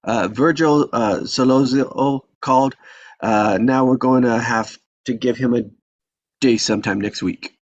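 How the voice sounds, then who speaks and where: An actor playing a stern, commanding old mafia patriarch with a gravelly, husky voice in a script table read. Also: male voice, medium pitch, unhurried, no echo, lo-fi sound, natural voice. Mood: businesslike.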